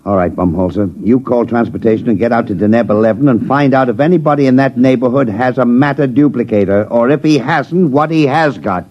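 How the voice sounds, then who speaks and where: Actor as a sour, grumbling radio chief inspector. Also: male voice, mid-range voice, brisk, hardly any pauses, natural voice. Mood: exasperated, sardonic. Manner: grumbling, blustery, sardonic speechifying. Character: sour, exasperated, long-serving male chief inspector. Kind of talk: 1950s radio drama acting. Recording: muffled old radio recording.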